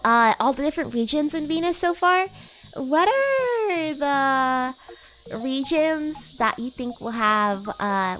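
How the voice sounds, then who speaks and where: Bratty demon classmate voiced by a woman, high-pitched and girlish, playful VTuber roleplay with light music underneath. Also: a bit bright. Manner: playful, giggly, teasing. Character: bratty young demon schoolgirl class president with baby wings. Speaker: VTuber streamer. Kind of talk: in-character roleplay livestream chat.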